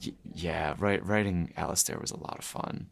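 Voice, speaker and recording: A man co-hosting a casual panel chat, playful and animated, restarting phrases as he goes. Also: low-pitched, moderate pace, creaky, dry recording. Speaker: podcast co-host and series writer.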